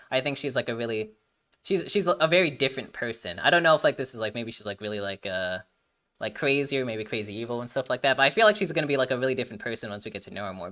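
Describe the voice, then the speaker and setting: Bright, fast-talking man, very animated. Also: mid-range voice. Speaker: reaction video host. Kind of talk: casual, rambling commentary on a game trailer.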